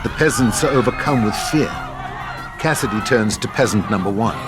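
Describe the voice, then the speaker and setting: Male narrator for script directions, lowish voice, clear music bed underneath. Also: moderate pace, over a noisy crowd. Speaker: narrator reading stage directions.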